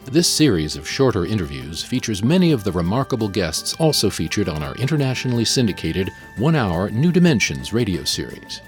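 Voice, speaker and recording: A man reading a scripted radio program outro in a smooth announcer manner. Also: lowish voice, big pitch swings, fairly quick, hardly any pauses, faint music behind.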